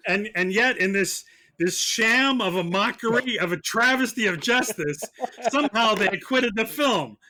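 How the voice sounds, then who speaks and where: A man's voice: high-pitched, animated, normal speed, crowd noise. A man playing prosecutor on a comedy podcast, debating films in a casual, argumentative way. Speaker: mock-trial prosecutor.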